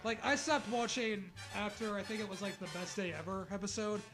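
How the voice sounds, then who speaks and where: A man's voice: sits high, fairly quick. A man reading a story aloud in a casual, mocking, sweary way as a comedy co-host. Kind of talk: casual comedic read-along with commentary. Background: background music.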